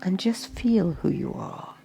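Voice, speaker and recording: A woman guiding a meditation, speaking gently in short, spaced-out instructions. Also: fairly low pitch, huge pitch swings, vocal fry. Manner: gentle, unhurried instructions in short phrases with long pauses. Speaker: meditation guide. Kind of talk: slow guided meditation narration. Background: music and nature sounds.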